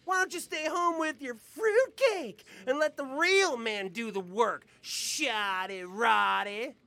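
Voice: mid-range voice, slow, sharp tone. A performer playing a hostile, sneering male police detective with slangy, contemptuous talk in an audio drama.

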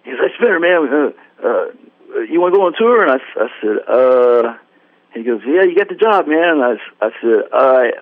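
A man's voice: mid-range voice, sing-song, normal speed, dry recording. Man telling a story over a phone line. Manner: rambling, self-deprecating, acts out quoted dialogue. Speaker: guest musician. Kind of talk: casual storytelling interview. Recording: dry tinny phone line.

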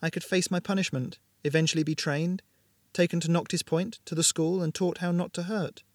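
A man doing a soft-spoken serene young woman. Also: fairly high pitch, steady tone, a little fast, dry recording, clean studio sound, pitched above the performer's own voice, natural voice. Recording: background noise.

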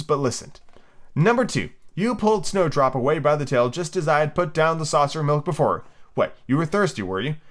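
Brief: man putting on a prim little girl lecturing her kitten, high and bouncy, storybook reading. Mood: scolding. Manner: fussy, lecturing, lively and whimsical. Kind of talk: amateur reading of a children's book.

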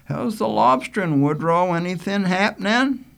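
A man's voice: high-pitched, lively intonation, normal speed, vocal fry, fairly bright tone, pitched above the performer's own voice, full character voice. A man voicing an easygoing, teasing young coastal fellow with colloquial, folksy speech in a storybook reading. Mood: friendly.

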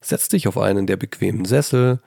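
Slightly hoarse man with a gravelly voice. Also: lowish voice, brisk, no echo, clean studio sound. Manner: chatty, self-deprecating, lively emphasis. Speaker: podcast host. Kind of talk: casual solo podcast talk. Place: old childhood bedroom at his mother's house. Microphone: handheld microphone.

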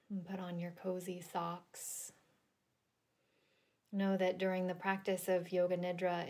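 A woman leading a guided relaxation meditation in a gentle, soothing, deliberate manner with long pauses. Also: fairly low pitch. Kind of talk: guided yoga nidra meditation.

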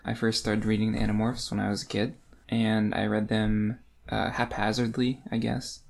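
A man's voice: on the low side, flat delivery, normal speed, creaky. A man in his twenties co-hosting a podcast in casual conversation about books. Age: 20s. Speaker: podcast co-host. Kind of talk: casual book discussion chat.

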